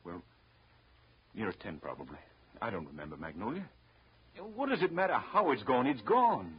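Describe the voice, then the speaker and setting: Breezy gambler husband, highly animated voice. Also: male voice, normal speed. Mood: evasive, dismissive. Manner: dismissive, smooth-talking. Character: breezy, evasive gentleman gambler, a careless husband. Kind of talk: 1930s radio drama acting. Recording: noisy, dull 1930s radio recording.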